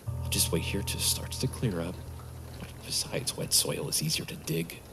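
Man as a nervous wife-killer, hushed muttering, lowish voice, swinging pitch, clear background noise. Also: sing-song, moderate pace, full character voice. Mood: tense. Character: nervous scheming man, wife's killer, talking to himself. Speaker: murderer hiding his wife's body. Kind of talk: audio drama acting.

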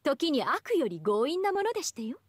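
A haughty anime game goddess, speaks in a high, young, elegant voice. Her formal phrasing swoops with proud, teasing expression, recorded very dry. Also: very animated, moderate pace, no echo. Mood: haughty, musing. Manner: refined, formal ladylike speech. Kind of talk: scripted anime game voice acting.

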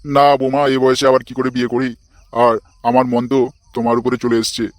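A man's voice: mid-range voice, little pitch movement, quick pace, dry recording, studio quality, close to an everyday voice. A man voicing a crude, bossy, lecherous old father-in-law in a comic cartoon, foul-mouthed and blustering. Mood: pleading, lecherous.

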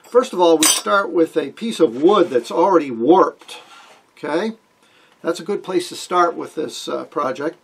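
An older man hosts an informal hobby electronics lesson. His voice is husky and sits a little high for a man. He explains things in a folksy, wry way. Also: animated, measured pace. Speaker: amateur radio hobbyist, video host. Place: small wood-panelled room indoors.

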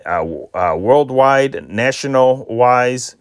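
An American man talks casually as a channel host. His voice is medium in pitch, and he rambles along with halting, unscripted phrasing. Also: lively intonation. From Chicago, United States.

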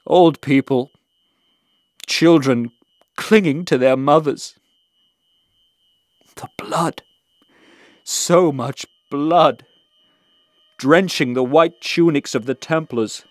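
An actor playing a haunted, guilt-stricken crusader, recounting in a halting, anguished way in a historical audio drama. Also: male voice, on the high side, no echo, studio quality. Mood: stunned anguish. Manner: halting, repeating words, anguished.

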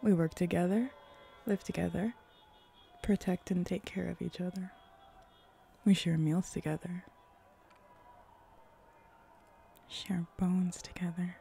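A woman voicing a flirty, sly female hellhound in a roleplay ASMR audio drama, teasing and seductive with breathy, whispery turns. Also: fairly even intonation, a little slow, dark tone, soft background music. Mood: smug, curious. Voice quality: low, husky, creaky.

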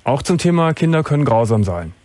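A middle-aged man hosting a late-night radio call-in, asking gentle, probing questions with soft affirmations. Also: mid-range voice, very animated, a little fast, no room sound. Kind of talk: calm empathetic phone-in talk. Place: radio studio. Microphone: studio mic, wearing headphones.

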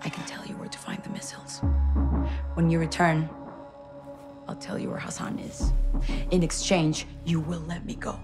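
Female cartel boss, Mexican accent, deliberate, cool and defiant, lowish voice, video game cinematic, music behind. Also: level tone. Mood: guarded bargaining. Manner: deliberate, cool, defiant, sometimes near whisper.

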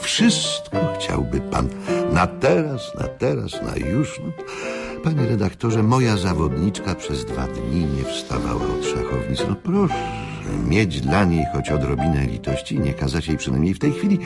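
An actor playing a fussy, excitable old-school chess coach and manager in a radio drama, speaking emphatically and repeating himself. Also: male voice, extremely low pitch, normal speed, creaky, loud music behind the voice, exaggerated voice. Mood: scolding.

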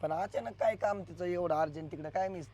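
A man's voice: high voice, a little fast, darkish tone, highs cut off. Puzzled young husband played by a man, questioning. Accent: Maharashtrian Marathi. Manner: questioning, plain conversational. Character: puzzled adult son asking after his absent wife. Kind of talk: amateur family drama acting.

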